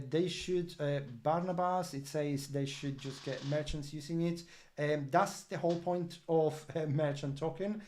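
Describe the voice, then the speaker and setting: A man who is not a native English speaker hosts a crypto project livestream update, answering viewer comments in an earnest, halting way with many fillers and restarts. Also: a little high, darkish tone. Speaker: crypto project team member hosting an update.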